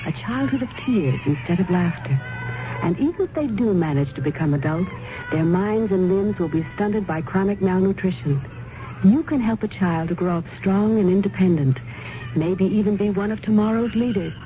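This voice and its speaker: A woman reading a scripted radio charity appeal in an earnest, measured way. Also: medium pitch, faint music behind. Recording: dull, clearly noisy old radio recording.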